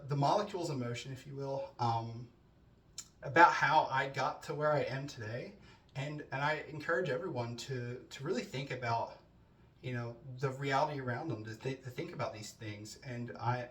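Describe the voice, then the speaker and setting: A man's voice: medium pitch, a bit bright. A man in his thirties from New Jersey giving a casual self-introduction to a class, talking conversationally with lots of fillers and restarts. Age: 30s. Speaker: student introducing himself. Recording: roomy, distant mic with faint ambience.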